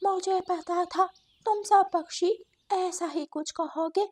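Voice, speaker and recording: A woman's voice: extremely high pitch, steady tone, slow pace, pitched above the performer's own voice. A woman voicing a sly, vengeful female fox in a children's cartoon, coaxing and theatrical. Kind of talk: Hindi children's cartoon story acting.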